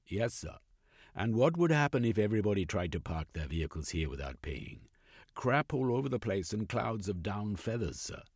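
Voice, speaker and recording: A man playing a polite deadpan officer, unflappable, low husky voice, storybook reading. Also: moderate pace, dry recording, clean studio sound, heavily acted. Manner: polite deadpan, repeated "sir".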